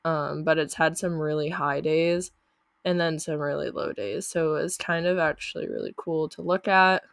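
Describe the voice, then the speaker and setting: A young woman with a low voice talks casually and chattily, padding her sentences with little fillers as she walks through her numbers. A lingering cough leaves her voice sounding slightly off. The recording is dry and close, with no room sound. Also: normal speed, vocal fry, no echo. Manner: chatty, uses many fillers like "like" and "um". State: lingering cough after illness. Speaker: YouTuber reviewing channel earnings. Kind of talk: casual screen-share walkthrough.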